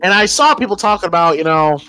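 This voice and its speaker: A man's voice: high voice, big pitch swings, fast, sharp tone, clear, resonant tone, no room sound, faint music behind, poor audio. An American man giving casual, emphatic opinion commentary as a podcast host, informal and often repeating phrases as he thinks aloud.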